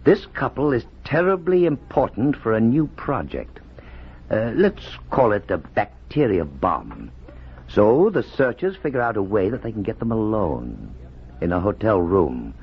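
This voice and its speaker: Glib menacing pursuer, wildly swooping voice. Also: male voice, on the low side, big pitch swings, a little slow, husky, full character voice. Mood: slyly menacing. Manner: glib, breezy, commanding. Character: smooth-talking, menacing male pursuer posing as a film producer. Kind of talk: 1950s radio drama acting. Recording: noisy, muffled old radio broadcast.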